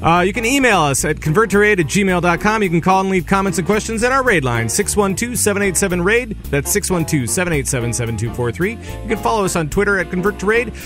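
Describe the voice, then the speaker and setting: A man's voice: high-pitched, lively intonation, quick pace, few pauses, fairly bright tone, faint music behind. A man hosting a casual gaming podcast, upbeat and rambling, with plenty of filler words. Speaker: podcast host.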